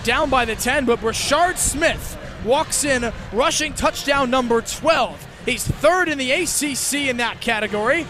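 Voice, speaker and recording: A young man calling live American football on radio as a student broadcaster, in clipped phrases that build into excited calls. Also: extremely high pitch. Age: young adult. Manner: clipped, rapid phrases rising into excited shouts. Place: football stadium broadcast position. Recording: clear outdoor stadium noise behind.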